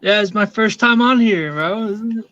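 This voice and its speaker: A man who grew up in Wales, calling in by phone to a fan stream, chatting in a friendly, halting way and repeating his words. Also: high voice, fairly bright tone, no room sound, muffled recording. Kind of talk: casual chat.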